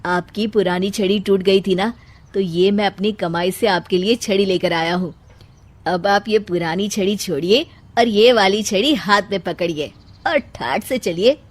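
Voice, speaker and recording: A woman's voice: medium pitch, measured pace, close to an everyday voice. An earnest young man of the household, voiced by a woman in a moral story. He sounds caring and respectful, in a middling register for a female voice. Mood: affectionate.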